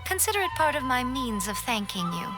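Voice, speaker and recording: Casting: elegant female fox familiar for anime game; fairly high voice, measured, formal and knowing, gently wry, clear music underneath. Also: sing-song. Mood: courteous. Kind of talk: scripted anime video game dialogue.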